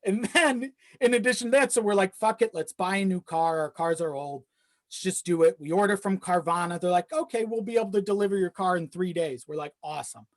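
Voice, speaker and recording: Podcast guest, mid-pitched voice, rambling casual storyteller with easy swearing, dry recording. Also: a little fast, no room sound. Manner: rambling, often chuckling while talking, swears casually. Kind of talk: casual chat, personal storytelling.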